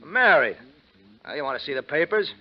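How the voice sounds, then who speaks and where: An actor playing a tough, guarded nightclub boss in a 1940s radio crime drama, talking in terse, clipped lines. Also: male voice, on the high side, big pitch swings, brisk. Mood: guarded, matter-of-fact. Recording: dull 1940s radio recording, music behind.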